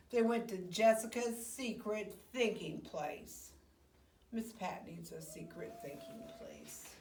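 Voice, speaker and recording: Casting: female children's storyteller, expressive and unhurried, picture-book read-aloud, echoey room, off the mic. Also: mid-range voice. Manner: expressive, with hushed asides to the young listeners. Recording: roomy, echoey, distant microphone.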